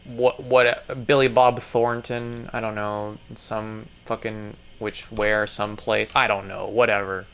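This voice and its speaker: A man giving casual, profane running commentary, muttering and then breaking into sudden loud outbursts, his throat strained. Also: lowish voice, flat delivery, unhurried, hardly any pauses, smooth. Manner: swears a lot, mutters, jumps to loud outbursts. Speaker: streamer reacting to videos.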